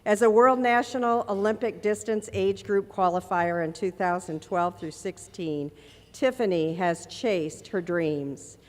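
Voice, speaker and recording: A woman's voice: medium pitch, a little slow, darkish tone. Woman host reading an introduction. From Chicago area. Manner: reads steadily from notes. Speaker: event host. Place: banquet hall. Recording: echoey hall.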